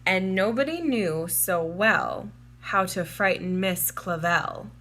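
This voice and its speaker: A woman reading a children's storybook aloud in a measured, deliberate and expressive way, drawing out words. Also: on the low side. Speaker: children's storybook reader, teacher. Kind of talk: read-aloud storybook narration for young children.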